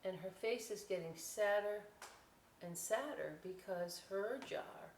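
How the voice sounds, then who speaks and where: A woman's voice: medium pitch, takes it slowly, a little mellow. A roomy, slightly distant recording with faint background noise carries a woman reading a picture book to young children. She takes her time, breaking off for casual asides and explaining the pictures in a conversational manner, like a story time host.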